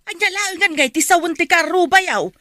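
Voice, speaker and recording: A woman's voice: very high-pitched, brisk, sharp tone, dry recording, clean studio sound. An actress playing an indignant, scolding old grandmother in a radio drama.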